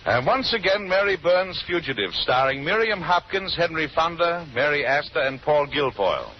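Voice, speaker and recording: Theatrical radio narrator over loud music. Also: male voice, on the high side, lively intonation. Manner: measured, theatrical phrasing. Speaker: radio show host and narrator. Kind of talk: dramatic 1930s radio narration. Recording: muffled old radio recording, loud music.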